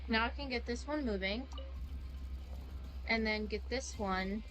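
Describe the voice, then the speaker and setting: A young woman chatting casually in a rambling, excitable, slangy way as she streams a game. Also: on the high side, a little slow, rough recording. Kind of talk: casual live-stream chat while playing. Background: game music.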